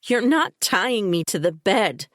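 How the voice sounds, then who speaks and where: A woman voicing a distraught young woman who pleads loudly and insistently. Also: mid-range voice, expressive, slow, no room sound, studio quality, voice pushed up. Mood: pleading.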